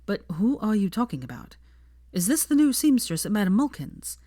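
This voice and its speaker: Woman narrator doing a kind, slightly awkward young guy in a story, gentle n measured, dry close sound. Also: medium pitch, very animated, no echo, pitched above the performer's own voice. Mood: curious, mild. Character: mild, kindly, slightly awkward young man, supportive friend. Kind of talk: fan fiction audiobook character voice.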